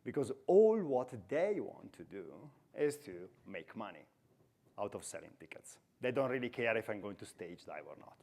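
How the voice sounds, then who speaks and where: A man's voice: lowish voice, sing-song, normal speed, mellow. Italian man giving a conference talk. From Italy. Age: 40s. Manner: chatty storytelling with self-deprecating humor. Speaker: conference speaker. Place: conference stage in a hall. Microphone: headset mic.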